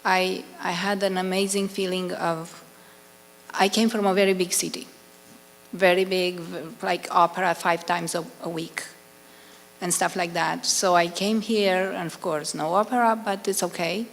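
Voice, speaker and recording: Romanian woman, gravelly, earnest and effusive. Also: lowish voice, steady tone, a little slow. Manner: earnest, effusive, searching for words. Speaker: piano teacher speaking at a public meeting. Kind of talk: public comment at a consultation meeting. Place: public consultation meeting in a hall. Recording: reverberant hall, faint hum.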